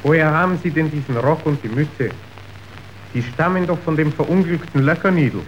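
A man's voice: on the high side, a little fast, highs cut off, a little hiss or hum, natural voice. An actor playing a worldly, contemptuous henchman in a radio drama, lecturing with scorn. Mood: knowing, didactic. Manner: didactic, scornful. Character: worldly, contemptuous henchman acting as cynical guide.

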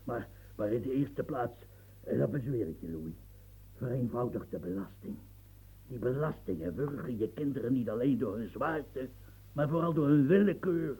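An actor in a radio play voicing a husky, fiery old marshal in his seventies, who rants and stammers when flustered. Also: mid-range voice, animated, normal speed, mellow, rough recording. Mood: impassioned. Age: 70s. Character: old marshal of seventy-three, fiery, long-winded reformer.

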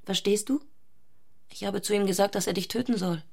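A woman's voice: mid-range voice, fairly quick, vocal fry, darkish tone, slightly rough. A woman playing an intense, fanatical, provocative married woman in a literary radio drama.

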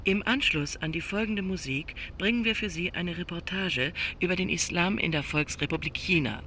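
Formal info radio announcer, high sharp voice, slight noise behind. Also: male voice, normal speed.

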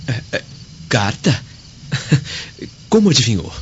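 An actor playing a deferential young slave with secret resolve, speaking in earnest theatrical diction in a radio drama. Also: male voice, mid-range voice, sing-song, slow, slight background noise. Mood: nervous, evasive. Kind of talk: Brazilian radio drama acting. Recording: dull audio, long reverb, music behind.

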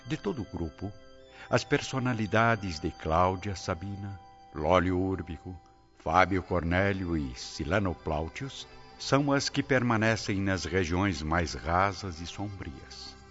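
A man's voice: low voice, lively intonation, a little slow, a bit bright. A man with a Brazilian accent narrating a radio drama in a solemn, scripted style. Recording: dull lo-fi audio with music.

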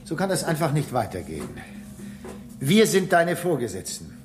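Earnest space station engineer, radio play, kinda high voice, swings around a lot, coaxing and insistent. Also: male voice, wildly swooping pitch, moderate pace, faint music behind. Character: human station engineer, male, reasoning patiently with a robot. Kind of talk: German radio drama acting.

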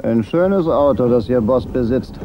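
An actor playing an unflappable, wisecracking private detective in a German radio crime drama, dry and laconic. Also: male voice, mid-range voice, animated, normal speed, lo-fi sound, noisy background, natural voice. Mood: dry, curious. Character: wry, unflappable hard-boiled male private detective. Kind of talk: German radio play acting.

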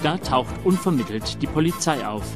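A man announcing a radio play episode and recapping the story in a measured, formal way. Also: mid-range voice, lively intonation, music in the background.

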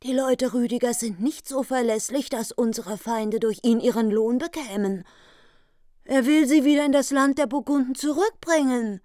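An actress playing a cold, bitter, vengeful queen in a German radio drama, speaking in a measured, commanding way. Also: fairly high pitch, mellow, no echo, studio quality, close to an everyday voice. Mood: scornful.

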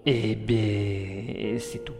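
A man's voice: lowish voice, level tone, very slow. Man acting polite, flustered suitor in echoing hall. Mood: hesitant. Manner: courteous, hesitant, formal period speech. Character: smitten, polite, easily flustered gentleman suitor. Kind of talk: French audio drama acting. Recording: long reverb, clear music behind.

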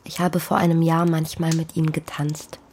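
An actress playing a poor young pregnant farm maid in a radio play, fearful yet stubborn, speaking earnestly in formal stage diction. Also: female voice, sits low, flat delivery, moderate pace, a little mellow, a little hiss or hum. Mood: troubled, guarded. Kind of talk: German radio play acting.

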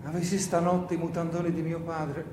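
A man performs a grumbling, sardonic partisan in a radio play. The voice is high for a man, rambling and teasing, full of needling questions. It rings in a roomy, echoing space with a faint layer of noise. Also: level tone, fairly quick, natural voice. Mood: wry grumbling. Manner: rambling, mock-rhetorical, needling listeners with questions. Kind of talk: theatrical radio play monologue.

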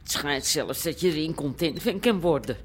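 A woman's voice: sits low, expressive, moderate pace, slight background noise. An actress playing a complaining, resigned older woman in a radio drama, plaintive and chatty. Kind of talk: naturalistic radio drama acting.

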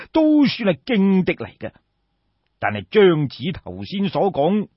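A man's voice: a little high, slightly rough, exaggerated voice. A man voicing a proud, sceptical court minister in a story, formally courteous while questioning and challenging. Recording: echoey room, slightly dull sound.

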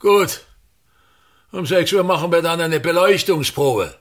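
An actor playing a pompous, bitter, tyrannical ageing actor-manager in a radio play, declaiming and haranguing with a husky voice. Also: male voice, fairly high pitch, animated, a little slow. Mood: bossy, exacting. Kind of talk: theatrical radio play monologue.

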